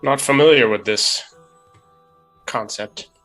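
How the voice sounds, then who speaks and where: A man playing a wary, measured knight questioning a stranger in a tabletop fantasy game. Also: medium pitch, fairly bright tone, faint music behind. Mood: wary, probing. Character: wary, measured mounted knight with spear, male.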